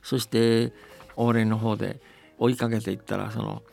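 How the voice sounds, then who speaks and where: A man's voice: fairly low pitch, flat delivery, moderate pace, creaky, warm tone, faint music behind. A man telling and explaining folktales conversationally, often checking that the listener agrees. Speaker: storyteller and folktale commentator.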